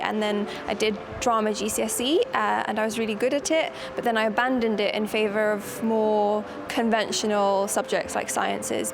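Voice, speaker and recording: A woman's voice: medium pitch, creaky. A woman from Leicester with Gujarati as her first language, a poet and performer, speaking reflectively in a documentary interview. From Leicester, England. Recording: noisy background hum.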